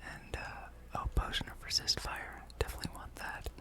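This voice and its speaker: A breathy whisper from a gaming ASMR host, with no voiced tone at all. The delivery is an unhurried ramble, musing aloud over gameplay.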